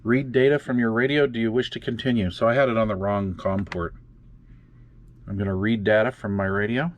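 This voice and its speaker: A man casually walking through radio software in a makeshift home studio, often trailing off and muttering to himself as he tinkers. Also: on the low side, steady tone, a little fast. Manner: muttering to himself while fiddling with gear, many fillers. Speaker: radio hobby video host. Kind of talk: casual hands-on demonstration.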